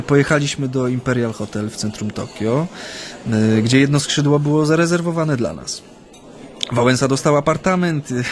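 A man's voice: mid-range voice, lightly acted. Hesitant, chatty man played by an actor in radio drama. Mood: animated reminiscing. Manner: hesitant, halting, with many hems and repetitions. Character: chatty, nervous Japanese man steeped in Polish ways. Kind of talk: naturalistic radio drama dialogue.

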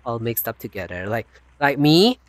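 A male streamer with a light non-native accent rambles in casual chat. His voice leaps high and drops low. The sound is dry, without room echo. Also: normal speed. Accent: non-native, unnamed. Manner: animated, rambling, laughs often. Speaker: streamer host.

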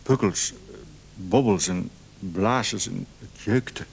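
Exhausted husky patient. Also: male voice, on the low side, slow pace, exaggerated voice. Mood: weary, terse. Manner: weary, hesitant, terse. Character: exhausted, anxious male allergy patient. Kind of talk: vintage Dutch radio play acting.

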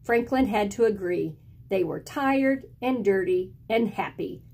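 Slow, mellow middle-aged woman reading to children. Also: medium pitch, animated. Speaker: storyteller reading a picture book aloud. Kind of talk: expressive children's read-aloud. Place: small indoor room at home with bookshelves.

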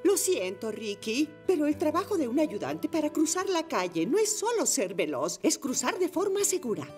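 A woman's voice: sits high, very animated, normal speed, darkish tone, soft background music. A woman playing a kindly, formal and playful motorcycle schoolteacher in a children's cartoon dub, announcing things theatrically. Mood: gentle consoling.

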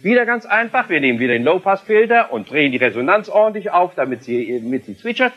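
A man's voice: high voice, big pitch swings, quick pace. A man in his sixties from Germany presenting a TV demonstration of electronic music equipment, explaining with a showman's emphasis. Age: 60s. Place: indoor electronic music studio. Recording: dull lo-fi 1970s television tape.

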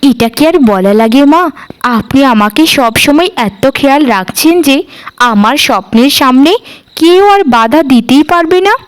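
A woman's voice: high-pitched, expressive, moderate pace. A woman playing a devoted, determined young daughter-in-law in a cartoon, speaking earnestly and respectfully. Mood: grateful, earnest. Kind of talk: cartoon dialogue.

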